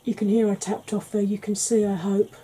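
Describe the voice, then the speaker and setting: A woman in her forties with a Welsh-tinged northern English accent, talking slowly and softly with wry humour while doing her makeup. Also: mid-range voice, flat delivery, a little hiss or hum, poor audio. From Wales and Yorkshire. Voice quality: soft-spoken, slow, wry. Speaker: makeup vlogger. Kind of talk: soft-spoken makeup tutorial chat.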